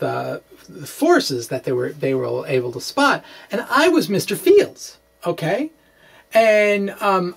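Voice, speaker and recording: A middle-aged man giving an informal explanation to camera as a physics blogger, halting and often repeating words. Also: sits high, gravelly, husky. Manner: halting, hesitant, full of repeated words and tags like "okay?"; chuckles as he talks. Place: small indoor room with bookshelves.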